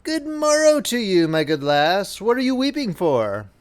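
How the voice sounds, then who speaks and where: Man putting on a high-pitched sly little hobgoblin. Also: big pitch swings, measured pace, smooth. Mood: sly, bargaining. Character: droll little male hobgoblin, sly, bargaining trickster. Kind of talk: storybook character voice in a fairy-tale reading.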